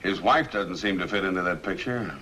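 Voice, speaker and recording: A big easygoing noir detective, forceful bright voice, old movie hiss. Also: male voice, deep voice, fairly quick. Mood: probing. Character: portly, shrewd, easygoing male private detective. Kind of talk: 1950s film noir acting. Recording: faintly hissy old film soundtrack.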